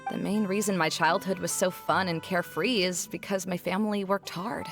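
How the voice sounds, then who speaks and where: A woman's voice: fairly low pitch, steady tone, normal speed, lightly acted. An actress voicing a dejected, self-doubting young woman scholar in a video game cutscene, speaking in an earnest, confessional way. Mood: downcast, reflective. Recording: game music under the voice.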